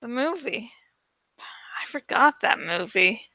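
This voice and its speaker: A gentle, slightly pouty teenage girl in a storybook, read by a woman. She sounds high and bright in a dry, close recording. Her delivery is earnest and tentative. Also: expressive, takes it slowly, no room sound, exaggerated voice.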